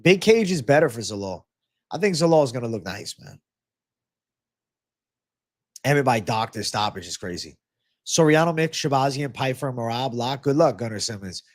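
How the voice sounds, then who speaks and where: A man's voice: medium pitch, expressive, moderate pace, long pauses. A man with a stuffy, congested nose hosting a casual livestream, reading viewer comments aloud in a laid-back, slangy way and swearing freely. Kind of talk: casual livestream Q&A on fight betting.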